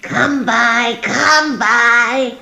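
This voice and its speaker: A woman playing a shrill, sly goblin fruit merchant in a dramatic verse reading, chanting and coaxing like a street seller. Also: steady tone, creaky, bright, forceful tone, reverberant, slight background noise, full character voice. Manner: drawn-out, coaxing, incantatory.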